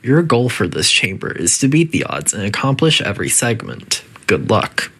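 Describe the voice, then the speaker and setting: A man's voice: fairly low pitch, big pitch swings, normal speed, fairly bright tone, husky, heavily acted. A man voicing a coolly polite, faintly menacing facility AI that gives formal, detached test-chamber announcements in a video game. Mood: clinical, detached.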